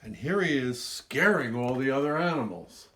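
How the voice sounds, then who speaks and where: A male storyteller with a medium-pitched voice reads a picture book aloud to children. He stretches words for emphasis and steps out of the tale to add small explanations. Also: big pitch swings, normal speed, bright, forceful tone. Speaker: storyteller reading his own book.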